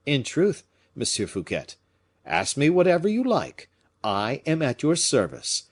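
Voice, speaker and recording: A man voicing a shrewd, courteous musketeer captain in an audiobook, speaking in a measured, pointed, formally polite way. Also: fairly high pitch, huge pitch swings, pitched above the performer's own voice, exaggerated voice. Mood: earnest, hinting. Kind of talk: audiobook character acting.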